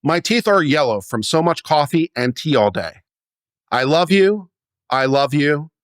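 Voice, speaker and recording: A man's voice: on the high side, lively intonation, measured pace, no echo. Guy doing a dramatic letter reading, theatrical, voice swoops up and down a lot, really dry close sound. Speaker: reader of a letter.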